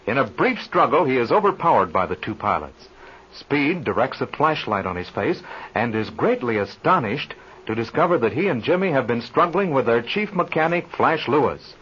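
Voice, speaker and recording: A man's voice: mid-range voice, sing-song, normal speed, fairly bright tone, breathy edge, heavily acted. A man narrating a 1930s radio serial as announcer, in formal, dramatic scripted delivery. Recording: muffled, noisy old radio recording.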